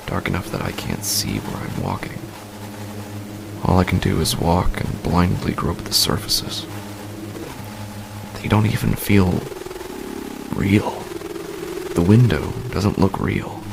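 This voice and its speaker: A man playing a frightened, sleepless husband in a horror story, narrating haltingly in a hushed, breathy, gravelly voice that drops toward whispers. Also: deep voice, animated, noisy background, exaggerated voice. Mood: uneasy. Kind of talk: scripted horror fiction narration, first person.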